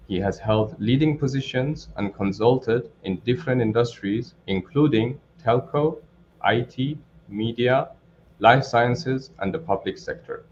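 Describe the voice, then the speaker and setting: A man hosting a webinar, reading a formal scripted introduction in a measured way. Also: fairly low pitch. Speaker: webinar host. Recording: dull lo-fi audio, clear background noise.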